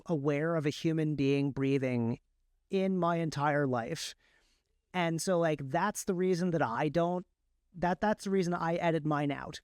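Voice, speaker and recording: A man speaking as an interview guest, explaining his work casually in an emphatic, opinionated way with halting, repeated starts. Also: a little high, darkish tone, clear, resonant tone, no room sound, studio quality. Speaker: guest, indie author and audiobook narrator.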